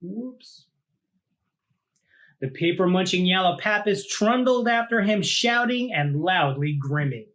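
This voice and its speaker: A man reading a children's picture book aloud like a teacher, with deliberate dramatic phrasing and drawn-out words. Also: high-pitched, expressive, fairly bright tone. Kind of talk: animated storybook read-aloud for children.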